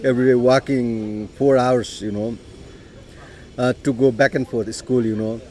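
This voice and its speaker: Nepali man telling his life story, mellow voice. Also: medium pitch, fairly even intonation, a little hiss or hum. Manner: unhurried, many "you know"s and throat clearing. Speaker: interviewee. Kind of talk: personal life story told in conversation.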